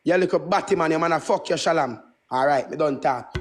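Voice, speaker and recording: A man's voice: fairly high pitch, fairly quick. A Jamaican man in his twenties who speaks Patois in a brash, boastful, repetitive way, ranting casually on a livestream. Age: 20s. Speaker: livestream host.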